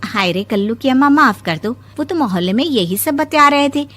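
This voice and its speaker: Woman as nosy village gossip, high voice, sharp tone, teasing, mocking, animated story. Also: normal speed, faint music behind. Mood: gossipy. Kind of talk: animated moral story dialogue.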